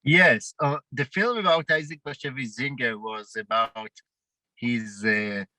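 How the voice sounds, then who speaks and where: A man with a heavy Israeli accent, a documentary director answering questions in a festival Q&A and pausing often to find his words. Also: mid-range voice, sharp tone, no room sound, poor audio. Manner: halting, searching for words. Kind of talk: festival Q&A interview.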